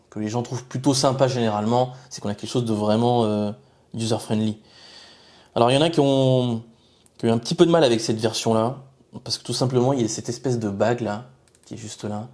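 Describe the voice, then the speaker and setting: A man giving an informal product review, explaining things haltingly and often rephrasing mid-sentence. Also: mid-range voice, mellow. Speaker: motorcycle gear reviewer.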